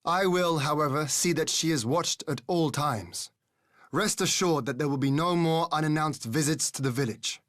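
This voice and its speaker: A man playing a noble, resolute young lord in a video game, speaking in formal, measured, courtly phrases. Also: a little high, a bit bright, no echo, clean studio sound, natural voice. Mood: commanding. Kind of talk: formal dramatic game acting.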